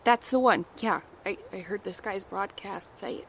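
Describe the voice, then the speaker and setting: An actress playing a wounded wife who has lost her memory, hesitant and halting and often hushed, in a horror audio drama. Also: mid-range voice, slight background noise, natural voice. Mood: wary. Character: wounded amnesiac wife, wary and urgent. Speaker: character.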